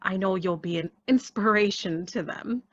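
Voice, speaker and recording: A woman's voice: mid-range voice, normal speed, a bit bright, no echo, lo-fi sound, lightly acted. A woman playing a polite, formal professional in a radio play. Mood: kindly.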